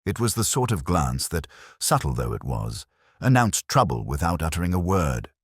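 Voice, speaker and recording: A man reading scripted detective-story narration in a husky voice. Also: rumbling low, sing-song, normal speed, no echo, clean studio sound.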